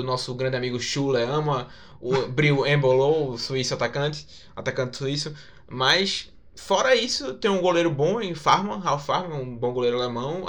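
A man's voice: mid-range voice, a bit bright. A man with a Brazilian accent giving casual football commentary, often repeating and correcting himself. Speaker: football podcast panelist.

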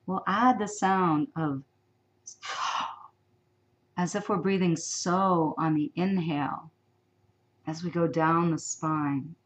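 A woman guides a sleep meditation in a lowish, gentle voice. She speaks very slowly and softly, with long pauses between soothing instructions. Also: steady tone.

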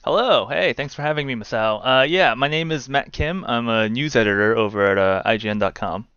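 A man's voice: mid-range voice, animated, fairly quick, fairly bright tone, clean tone, dry recording, highs cut off. A man around thirty, a guest in a casual interview, who talks in a wry, rambling way and fills his speech with "uh" and "like.". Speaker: guest, games news editor.

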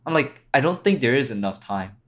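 A man's voice: mid-range voice, lively intonation, brisk, fairly bright tone. A young man chatting as a podcast guest, laid-back and teasing, laughing at his own jokes. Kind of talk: casual chat.

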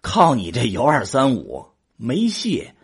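A man acting a boastful, easily exasperated drinking buddy in a sci-fi radio play. His voice is very husky and rough, and it swings widely up and down as he grumbles and defends himself. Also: mid-range voice, wildly swooping pitch, takes it slowly, full character voice. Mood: exasperated, defensive. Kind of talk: Chinese sci-fi audio drama dialogue.

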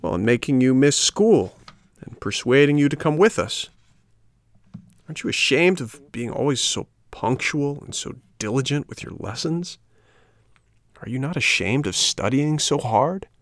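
A man's voice: medium pitch, expressive, unhurried, dry recording, clean studio sound, pitched above the performer's own voice. A man voicing mocking rascal schoolboys in a storybook, with a jeering, emphatic and bullying manner.

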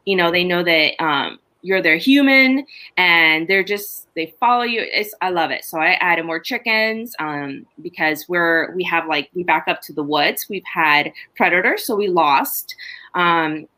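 A woman's voice: lowish voice, a little slow. A middle-aged woman from Honduras, a farmer chatting casually and telling stories about her farm. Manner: chatty, animated storytelling with laughs. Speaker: farmer, podcast guest. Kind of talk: casual chat.

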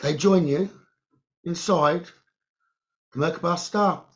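A man leading a guided meditation in short, halting phrases with long pauses and a creaky voice. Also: on the high side. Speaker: guided meditation leader, psychic medium. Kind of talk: slow guided meditation narration. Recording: dull, lo-fi sound.

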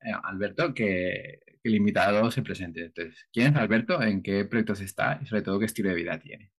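Podcast host, man with lowish voice. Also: brisk. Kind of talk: conversational podcast hosting.

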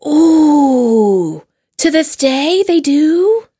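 A woman with a fairly high voice hosts a casual podcast chat. She reacts playfully and expressively, and her sound is dry, with little room to it. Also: big pitch swings, very slow, mellow, no echo. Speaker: podcast host.

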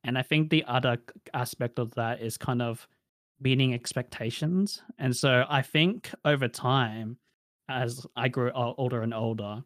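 A man's voice: mid-range voice, steady tone, measured pace, a bit bright, no echo, clean studio sound. A young man with an Australian accent, answering interview questions in a casual, reflective way with many fillers.

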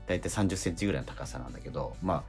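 A man's voice: rumbling low, rapid-fire, soft background music. A man co-hosting a radio show, chatting casually and telling a rambling story full of fillers. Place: radio studio.

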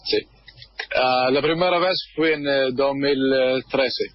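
A man from Kuwait, a guest on a radio interview, speaking hesitantly with many pauses while he searches for words. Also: mid-range voice, no room sound, muffled recording. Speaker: guest, football fan. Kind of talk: radio interview over a line.

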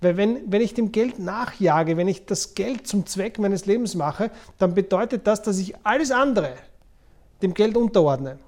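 A man's voice: high-pitched, lively intonation, moderate pace, darkish tone. A man in his mid-forties with a Viennese accent talks as a podcast host. He explains things off the cuff and often doubles a word to stress his point. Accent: Viennese Austrian. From Vienna, Austria. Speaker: podcast host, former investment banker. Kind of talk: unscripted podcast talk about money.

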